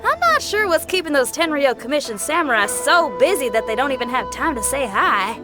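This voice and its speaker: An actress playing an upbeat, tenacious young rock musician in a game cutscene, earnest and folksy. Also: female voice, sits high, very animated, fairly quick, over loud music. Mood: upbeat, resilient. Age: young adult. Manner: folksy, plain-spoken, earnest. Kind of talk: animated game cutscene voice acting.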